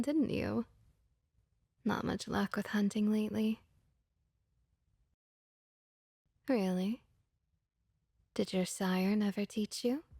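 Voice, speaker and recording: Woman acting as friendly vampire barmaid, ASMR roleplay, soft husky half-whisper, unhurried pace, gentle and reassuring. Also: medium pitch, dry recording. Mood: welcoming, attentive, then sympathetic. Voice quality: soft, breathy, often slipping into whispers. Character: friendly, comforting female vampire innkeeper and bartender.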